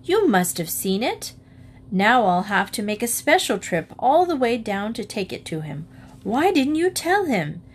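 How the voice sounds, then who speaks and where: A woman's voice: fairly high pitch, sing-song, unhurried, slight background noise. A woman voicing an exasperated mother of three, stern and careful.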